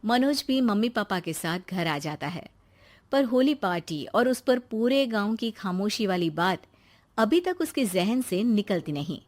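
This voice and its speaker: A woman's voice: mid-range voice, animated, unhurried, darkish tone, a little hiss or hum. A woman storyteller reading a scripted cartoon story in a dramatic narrating style.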